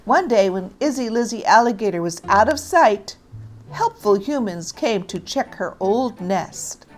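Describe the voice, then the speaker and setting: A woman's voice: medium pitch, lively intonation, unhurried. Woman reading a children's story over music. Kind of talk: scripted children's story narration.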